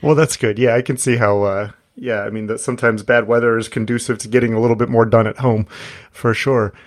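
A man hosting an interview podcast, introducing his guest and chatting in a relaxed, friendly way with plenty of fillers. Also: medium pitch, a little fast. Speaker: podcast host.

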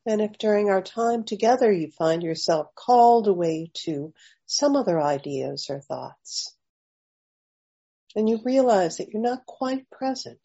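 Slow, husky, mellow woman guiding meditation. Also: medium pitch, animated. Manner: gentle, unhurried invitations with long pauses. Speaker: guided meditation leader. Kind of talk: slow guided meditation narration. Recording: slightly dull, lo-fi audio.

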